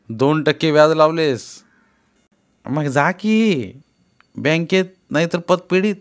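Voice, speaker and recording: A man voicing a shrewd middle-aged moneylender who talks in a sharp, loud, scolding way. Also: fairly high pitch, voice pushed up.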